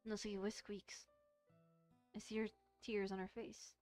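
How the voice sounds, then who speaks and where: A woman's voice: mid-range voice, level tone, unhurried, darkish tone, soft background music. A woman streamer reading a visual novel aloud expressively, mixing dramatic narration with casual commentary and stumbling over words.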